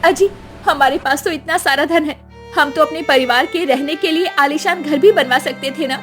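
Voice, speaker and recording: Woman voicing a high-pitched, plaintive poor young mother. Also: moderate pace, fairly bright tone, soft background music. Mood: earnest. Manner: plaintive, emotional. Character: poor, long-suffering wife and mother, tender but anguished. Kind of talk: cartoon moral story acting.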